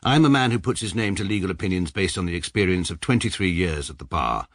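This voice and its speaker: Pompous middle-aged barrister, very low husky tone, dry close sound, sarcastic and measured. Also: male voice, lively intonation, dry recording. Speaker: barrister narrating his own story. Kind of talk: radio comedy drama narration.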